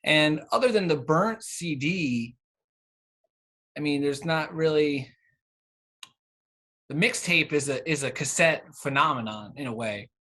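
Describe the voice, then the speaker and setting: A man's voice: fairly high pitch, expressive, unhurried, pauses often, pushed and bright. A man in his thirties being interviewed in a casual, conversational way, with hesitant, rambling phrasing. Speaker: interview guest, cassette exchange organiser.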